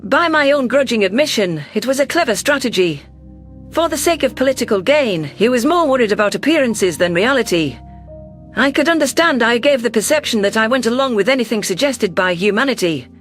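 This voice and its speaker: A performer voicing an earnest, wary deposed alien governor who narrates in a measured, formal, reflective way. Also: medium pitch, expressive, a bit bright, faint music behind, lightly acted. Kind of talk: scripted first-person audio story narration.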